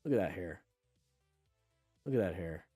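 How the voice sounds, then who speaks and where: A man's voice: low voice, expressive, normal speed, warm tone, breathy edge, dry recording, faint music behind. A man hosting a casual livestream, reviewing motorcycle clips and chatting loosely between them, his voice stuffy and nasal from congestion. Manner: chatty, loose asides, plugs a sale.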